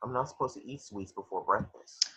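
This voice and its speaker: A man playing a reluctant, protective young boy with a husky voice in a table read of a film script. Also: mid-range voice, a little fast, pushed and bright, rough recording. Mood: wary.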